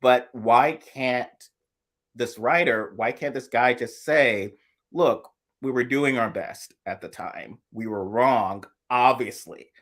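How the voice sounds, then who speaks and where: A man from the American South co-hosting a casual chat, thoughtful and halting, often restarting his sentences. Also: mid-range voice, a bit bright. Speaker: co-host.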